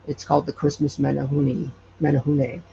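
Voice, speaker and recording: A man chatting casually as an interview guest, often hesitating and pausing to search for words. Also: fairly high pitch, level tone, gravelly, warm tone. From Hawaii. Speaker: podcast creator, interview guest. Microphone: online call. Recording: muffled, noisy internet call.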